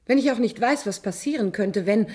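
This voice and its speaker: Husky, wary, reluctant citizen. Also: female voice, fairly high pitch, a little fast, a little mellow. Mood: anxious, resisting. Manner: hesitant, questioning, formal. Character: wary, reluctant ordinary female citizen, chosen test subject. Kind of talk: radio play dialogue.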